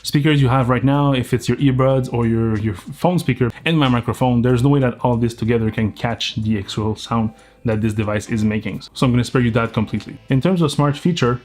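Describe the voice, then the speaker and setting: A man with a French Canadian accent talks through a gadget review in a relaxed, explanatory way. His pitch sits in an ordinary middle range, and he often trips and restarts mid-thought. Faint music plays under his voice. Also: a little fast. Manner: explanatory, thinking aloud with small restarts. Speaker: tech reviewer. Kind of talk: casual product review.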